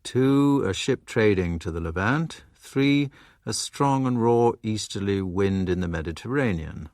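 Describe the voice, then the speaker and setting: A man reading dictionary definitions from a script in a formal, deliberate manner. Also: on the low side, animated, a little mellow, dry recording, clean studio sound.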